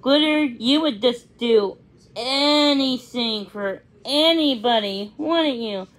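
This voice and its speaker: A woman's voice: on the high side, takes it slowly, a bit bright. A woman voicing a friendly, grateful father dog in a storybook, in a cheerful, kindly manner. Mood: fond.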